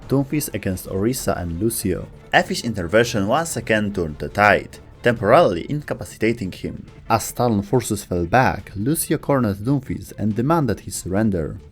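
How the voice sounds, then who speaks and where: A man's voice: lowish voice, lively intonation, normal speed, few pauses, slightly rough. A man delivering scripted storytelling narration for a video game lore video. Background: faint background music.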